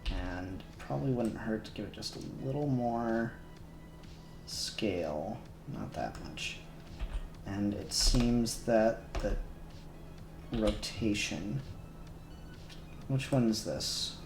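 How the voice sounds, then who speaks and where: Male streamer, unhurried, thinks aloud while he works; echoey room, faint music under. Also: on the low side, expressive. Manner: self-conscious, muttering to himself as he works. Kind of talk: casual live-stream talk while 3D modelling.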